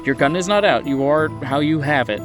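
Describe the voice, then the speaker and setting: A man running a tabletop horror game as game master, setting scenes in the second person with deliberate phrasing. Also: fairly high pitch, animated. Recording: music behind the voice.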